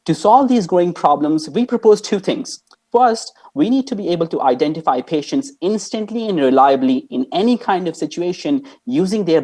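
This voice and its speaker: A man from Pakistan, a startup founder, delivering a rehearsed pitch in an earnest, measured way. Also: warm tone, husky.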